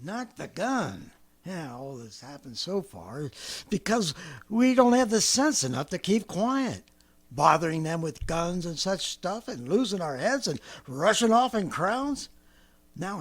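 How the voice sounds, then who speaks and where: A man's voice: on the high side, exaggerated voice. An actor playing a rambling, boastful former militiaman who laughs to himself as he lays out his survival plan, in 1930s radio drama style.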